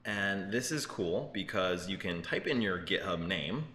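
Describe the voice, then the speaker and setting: Casting: young male co-host, low but bright voice, informal and jokey tech chat. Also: expressive, measured pace. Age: young adult. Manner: informal, joking, slangy. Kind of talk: casual tech news chat. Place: indoor open office with people working behind. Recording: roomy echo, some background noise.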